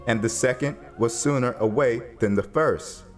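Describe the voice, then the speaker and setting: A man narrating dramatized scripture in a solemn, measured storytelling manner. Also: mid-range voice, soft background music.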